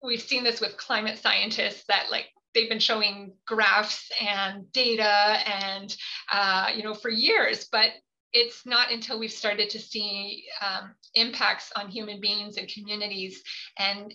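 A woman's voice: mid-range voice, fairly even intonation, slow, pushed and bright, muffled recording. A woman family physician giving a webinar talk on a video call, earnest and hesitant with frequent fillers. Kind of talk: webinar presentation.

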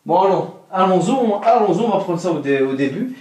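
A young man vlogging casually at home, excitable and chatty, talking straight to his viewers and laughing often. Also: high-pitched, fairly quick. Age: young adult. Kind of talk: casual vlog to camera. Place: indoor bedroom at home. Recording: roomy echo, voice from off-mic.

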